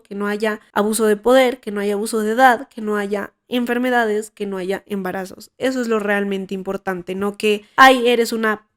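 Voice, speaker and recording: A young woman with a Colombian accent hosting her podcast, hoarse from a cold and talking frankly with frequent restarts. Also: medium pitch. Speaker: podcast host. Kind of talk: casual podcast monologue.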